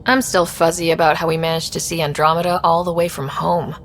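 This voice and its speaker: A woman's voice: low voice, flat delivery, a little fast, a little hiss or hum. A woman playing an inquisitive young space explorer in a video game, speaking in a direct, casual, questioning way. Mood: curious. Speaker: player protagonist. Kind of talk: scripted video game dialogue.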